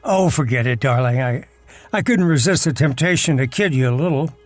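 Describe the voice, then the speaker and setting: An actor playing a wealthy, wry, teasing husband in a wheelchair in an audio drama. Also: male voice, medium pitch, lively intonation, a little fast, faint music behind, lightly acted. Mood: teasing, indulgent. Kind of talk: audio drama acting, first-person narration and dialogue.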